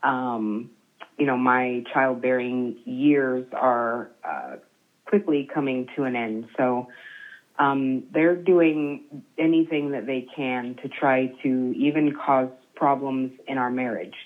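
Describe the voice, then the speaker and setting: Slow, halting woman on a phone line. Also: very deep voice. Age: 30s. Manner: halting, many fillers and restarts. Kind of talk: telling her family's story over the phone.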